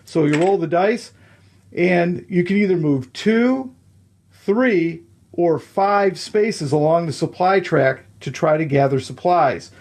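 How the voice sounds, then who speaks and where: A man with a slightly high voice walks through the rules of a board game step by step. He is patient and methodical, counting moves out loud and pausing to check that the listener follows. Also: expressive. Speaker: explaining game rules at the table.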